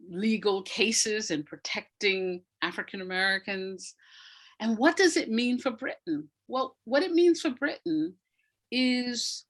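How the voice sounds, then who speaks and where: A woman's voice: medium pitch, fairly bright tone. Emphatic woman explaining in an interview. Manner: emphatic, repeats phrases for effect, laughs often. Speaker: interview guest, audio drama maker. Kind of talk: animated explanatory interview talk.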